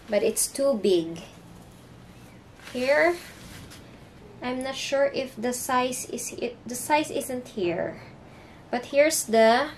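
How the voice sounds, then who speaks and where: Young woman, Filipino accent, unhurried, hesitant, chatty, slightly high voice, bedroom vlog on a camera mic. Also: slight background noise. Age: young adult. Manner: searches for English words, hesitant and chatty. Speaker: vlogger. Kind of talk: casual haul vlog. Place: bedroom indoors.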